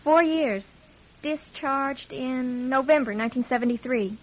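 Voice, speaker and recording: A detective's dutiful assistant talks in a high voice, casual and a little weary, with dry asides. The radio drama audio is somewhat dull. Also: female voice, measured pace.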